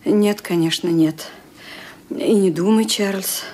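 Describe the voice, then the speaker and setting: An actress playing a brave, secretive old aunt in a radio play, speaking in halting, deliberate phrases. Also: female voice, medium pitch, warm tone. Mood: insistent.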